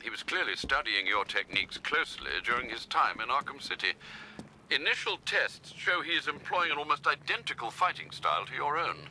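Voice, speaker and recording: An actor playing a loyal older butler in a video game, formal, articulate and dryly deferential. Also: male voice, medium pitch, very animated, a little fast, sharp tone, slight background noise, rough recording. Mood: briskly informative. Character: courteous, dry, loyal older male butler assistant. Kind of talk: video game cutscene acting.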